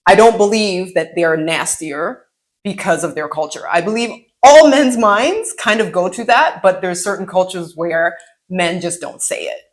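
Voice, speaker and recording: A woman co-hosting a podcast, talking casually in an expressive, wry way and voicing imagined reactions. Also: on the low side, normal speed. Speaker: podcast co-host. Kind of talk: casual conversational podcast chat.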